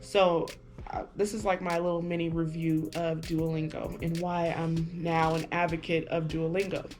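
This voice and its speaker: A woman's voice: deep voice, little pitch movement, moderate pace, a little mellow. A woman vlogger reviewing an app in casual talk to camera, chatty and hesitant, with lots of fillers and restarts. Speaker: language-learning vlogger. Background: music.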